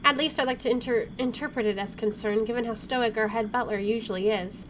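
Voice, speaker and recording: A woman's voice: on the high side, steady tone, brisk. A woman game streamer reading visual novel narration aloud, with her own asides. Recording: clearly noisy home recording.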